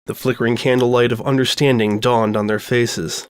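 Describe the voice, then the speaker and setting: A man reading a scripted story aloud as an expressive storyteller. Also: medium pitch, moderate pace, clean tone, no room sound.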